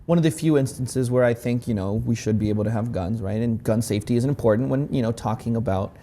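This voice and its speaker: A man's voice: medium pitch, quick pace, warm tone, slight background noise. A man co-hosting a casual opinion chat, sarcastic, mocking the other side by quoting it in a put-on voice. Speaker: co-host.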